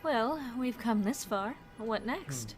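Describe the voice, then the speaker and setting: A woman's voice: on the high side, big pitch swings, takes it slowly, music in the background. A woman voicing a flirtatious, playful costumed antiheroine in a comic book dub, with a coy, purring delivery. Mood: flirty, coy.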